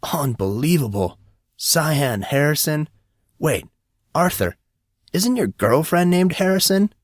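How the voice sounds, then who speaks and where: A man's voice: fairly high pitch, sing-song, slow pace, no echo, voice pushed up, heavily acted. A man voicing a proud, dry-witted teenage schoolboy with a wry, wordy manner in an audiobook drama. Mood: disbelieving.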